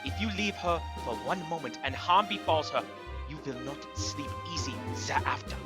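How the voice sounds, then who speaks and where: An actor playing a grave, cautious old foreign professor in a radio horror drama, with halting, emphatic phrasing. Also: male voice, a little high, expressive, natural voice. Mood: ominous warning. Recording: loud music behind the voice.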